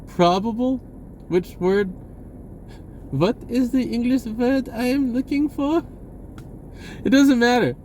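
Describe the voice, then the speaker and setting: A man giving an unscripted personal message in a casual, halting, rambling way with short broken phrases. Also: very high voice, darkish tone, a little hiss or hum.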